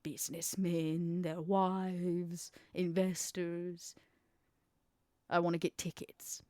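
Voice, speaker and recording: A woman playing an imperious, ruthless female vampire schemer in a tabletop roleplay, speaking deliberately with dramatic pauses. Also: lowish voice, little pitch movement, a little mellow, no room sound, studio quality. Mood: scheming, smug. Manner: deliberate, dramatic pauses, stressed key words. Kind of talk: in-character tabletop roleplay acting.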